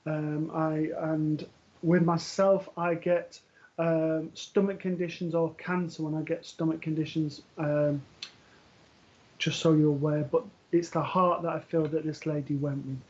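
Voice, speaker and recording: A man working as a medium, giving a live reading in a gentle, reassuring way, often pausing with ums and restarting sentences. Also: on the high side, level tone. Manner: hesitant, full of ums and restarts. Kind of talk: live-streamed spiritual reading. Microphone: earpiece for live broadcast. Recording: dull lo-fi stream audio.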